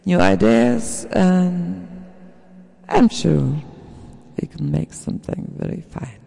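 Man, non-native English speaker, high gravelly voice, halting sample-sharing chat. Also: lively intonation, warm tone, in a large hall. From Germany. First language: not English. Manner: halting, searching for words, slow with many fillers. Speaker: sound producer sharing samples. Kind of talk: casual video message.

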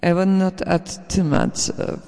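Man, non-native English speaker, high gravelly voice, halting sample-sharing chat. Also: steady tone, darkish tone, in a large hall. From Germany. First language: not English. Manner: halting, searching for words, slow with many fillers. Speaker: sound producer sharing samples. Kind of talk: casual video message.

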